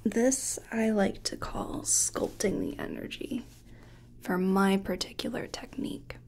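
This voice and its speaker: A woman speaking softly in a breathy whisper, gentle and unhurried, role-playing a reiki practitioner in an ASMR personal attention style. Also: medium pitch, fairly even intonation. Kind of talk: soft-spoken ASMR personal attention roleplay.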